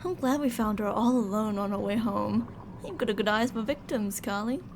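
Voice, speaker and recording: A woman's voice: mid-range voice, normal speed, background noise, close to an everyday voice. A woman playing a controlling mad scientist in an ASMR audio drama, sugary and polite but ready to turn stern and commanding. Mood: businesslike, slightly worried. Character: controlling female mad scientist, sweet-talking, fretful captor.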